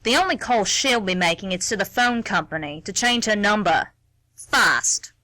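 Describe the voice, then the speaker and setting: A woman's voice: medium pitch, expressive, normal speed, pushed and bright, dry recording. A woman acting a sardonic, meddling older woman in a radio play, with a dry, blunt, wry delivery. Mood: scornful. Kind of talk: stage-play dialogue read as radio theatre.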